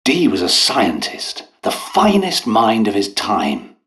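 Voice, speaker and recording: An actor playing a polite, eccentric British doctor and scientist in a video game, with refined, hesitant, apologetic and understated speech. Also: male voice, on the low side, wildly swooping pitch, takes it slowly, a bit bright, full character voice. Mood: musing, professorial. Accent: British English. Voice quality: refined, well-spoken. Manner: courteous, hesitant, understated, chatty.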